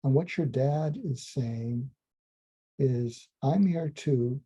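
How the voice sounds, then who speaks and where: A man, a psychiatrist, calmly recounting a case in a slow, soothing, almost hypnotic way, with frequent ums and restarts. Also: medium pitch, breathy edge. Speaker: psychiatrist telling a clinical story. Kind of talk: calm storytelling monologue. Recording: dull lo-fi sound.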